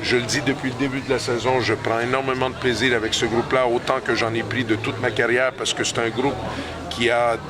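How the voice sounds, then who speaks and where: A middle-aged hockey coach, answering post-game interview questions slowly, with many pauses and restarts. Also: male voice, medium pitch, flat delivery. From Canada. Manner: hesitant, many fillers and restarts. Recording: loud crowd chatter behind.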